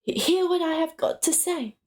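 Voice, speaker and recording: A timid little male hobbit played by a woman rambles politely and hesitantly. The tone is soft and mellow, a flustered storybook character. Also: extremely high pitch, lively intonation, normal speed, voice pushed up. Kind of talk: dramatic book reading.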